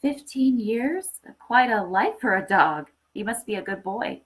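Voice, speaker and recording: A woman's voice: on the high side, expressive, a little slow, pitched above the performer's own voice. A woman voicing a weary young mother, polite but distracted, in a short story reading. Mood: politely interested.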